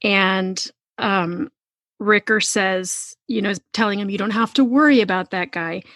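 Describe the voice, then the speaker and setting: A woman podcast host talking conversationally about books in an earnest, expressive manner. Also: mid-range voice, measured pace, no echo, studio quality. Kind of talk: conversational book discussion.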